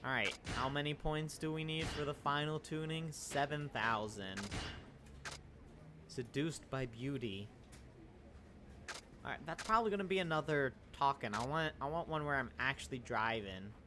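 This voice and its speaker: A man's voice: mid-range voice, normal speed, noisy background. A man streaming a video game, thinking aloud with eager casual commentary over game music.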